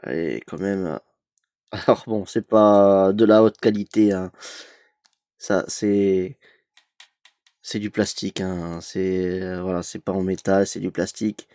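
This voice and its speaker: A man's voice: low voice, steady tone, slow pace, pauses often, warm tone, dry recording, clean studio sound. A young man with a cold, chatting hesitantly with many fillers while opening an advent calendar for his collection vlog. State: has a cold. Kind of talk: casual unboxing vlog.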